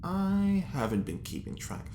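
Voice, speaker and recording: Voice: medium pitch, sing-song, a little slow, dark tone. An actor playing a pompous, smug prisoner who loves chess, in an audio drama, with a wry, teasing manner. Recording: roomy, echoing, faint background noise.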